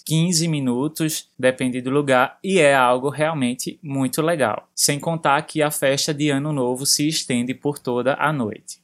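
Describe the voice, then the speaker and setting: A man with a Brazilian accent presenting a segment on a language-learning podcast, explaining terms slowly for learners. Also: medium pitch. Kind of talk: podcast lesson narration.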